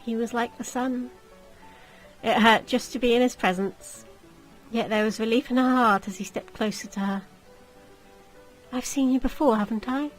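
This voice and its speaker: A woman reading a visual novel aloud as expressive story narration. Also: a little high, moderate pace, faint music behind, rough recording.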